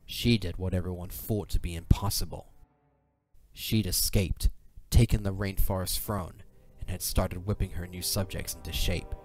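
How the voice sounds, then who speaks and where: A man's voice: low voice, steady tone, moderate pace. A man narrating a scripted, dramatic fantasy story as the narrator of an audio drama. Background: music.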